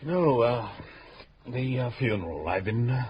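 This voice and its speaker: A man's voice: medium pitch, expressive, a little slow, muffled recording, slight background noise, close to an everyday voice. An actor playing a fussy, evasive widowed middle-aged man in a radio drama, hesitant and full of filler sounds. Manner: hesitant, full of uhs, flustered. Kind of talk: 1970s-80s radio drama acting.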